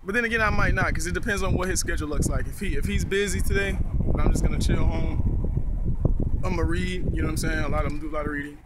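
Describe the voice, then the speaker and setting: A young American man vlogging casually, halting and restarting his sentences and clearing his throat, his mouth still numb from dental work, talking outdoors into a handheld camera. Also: a little high, heavy background noise. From United States. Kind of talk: casual rambling vlog. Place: outdoors in a park.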